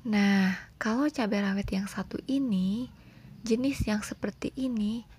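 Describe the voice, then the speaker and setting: A woman's voice: mid-range voice, unhurried, a little mellow. A woman from Indonesia narrating a home-garden vlog and addressing her viewers. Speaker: gardening vlogger. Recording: clear background noise, birdsong and outdoor ambience. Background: birdsong, occasional motor vehicles, roosters.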